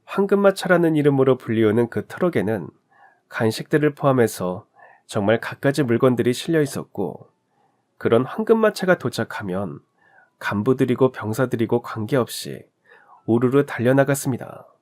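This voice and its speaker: Male storyteller for radio-drama narration; conversational, direct to listener, animated pitch, mid-range voice. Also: moderate pace.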